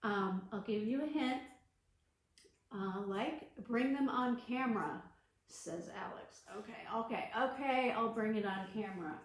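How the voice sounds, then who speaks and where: A woman librarian reading a storybook aloud to children on a livestream, gentle and encouraging. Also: a little high, measured pace. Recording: echoey room.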